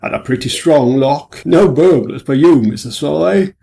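A man reading an audiobook voices a jovial police superintendent who talks loudly and breezily. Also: fairly high pitch, moderate pace, gravelly. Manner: loud, breezy, chuckling.

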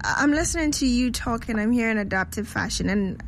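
A chatty woman hosting an interview over a video call, with a voice pitched above the usual. She laughs easily and loops back to rephrase her questions. Faint noise sits under her. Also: animated, brisk. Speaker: host.

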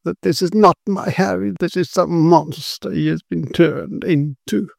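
A man's voice: high voice, lively intonation, unhurried, dark tone, no echo, clean studio sound, pitched above the performer's own voice. A man voicing a distressed, pleading young woman in a gothic horror story. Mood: distraught. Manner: pleading, upset.

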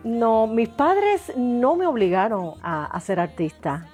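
A woman with a Cuban accent, a singer talking as a guest in an interview, warm and effusive, laughing often. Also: fairly high pitch, sing-song, slow pace, soft background music. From Cuba. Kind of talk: interview chat.

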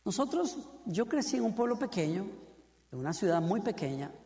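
A high-voiced man with a Costa Rican Spanish accent preaching a sermon. He drives his points home with repeated phrases and asks the congregation direct questions. His voice rings out with a long reverb in a large hall. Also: very animated, normal speed, warm tone. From Costa Rica. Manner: building to fervent emphasis with repeated phrases.

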